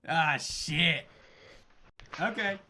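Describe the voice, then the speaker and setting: A man acting as game master, improvising narration in a casual, halting way full of filler phrases and swearing. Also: on the high side, over a noisy crowd.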